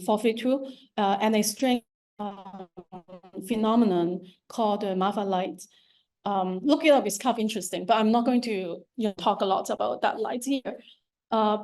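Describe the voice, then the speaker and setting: A woman with a strong Cantonese accent gives a talk about her art. Her voice sits at an ordinary middle pitch, and she speaks haltingly, with frequent ums, false starts and repeated words as she searches for the phrasing. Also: warm tone. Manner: hesitant, many ums and restarts. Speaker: visual artist giving a talk. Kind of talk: lecture on her art practice.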